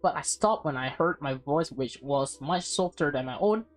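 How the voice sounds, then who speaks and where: A woman reading a visual novel aloud as a let's play host, expressive and breaking into casual, offhand asides. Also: low-pitched, normal speed, soft background music.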